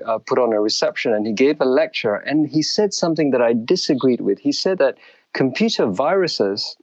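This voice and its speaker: A middle-aged man from Malaysia recounts his upbringing as an interview guest, measured and reflective, pausing to restart his sentences. The recording is dry and a little muffled, with no room sound. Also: medium pitch, darkish tone, no echo. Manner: measured, thoughtful, with frequent restarts.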